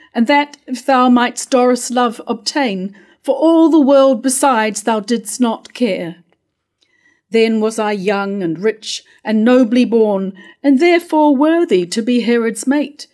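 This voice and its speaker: A woman's voice: fairly high pitch, a little mellow. A middle-aged woman playing a proud, bitter discarded royal wife, declaiming verse in a staged reading. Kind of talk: declaimed early modern verse monologue. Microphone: stand mic at lectern.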